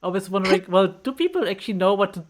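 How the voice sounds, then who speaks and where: A woman's voice: mid-range voice, animated, fast. A woman interviewing a guest for a convention, friendly and enthusiastic, often laughing. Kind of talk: casual interview chat.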